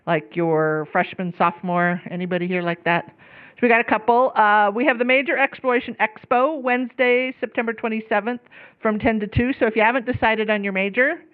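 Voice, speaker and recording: A woman pre-law advisor giving a chatty informational talk to students over a microphone. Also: medium pitch. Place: lecture room, on microphone. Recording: phone-like thin room recording.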